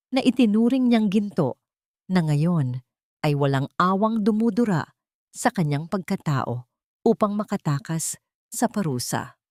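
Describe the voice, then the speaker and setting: A woman reading a scripted dramatic story as narrator, in a measured, solemn storytelling manner. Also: medium pitch, no echo, clean studio sound. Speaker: narrator of love stories.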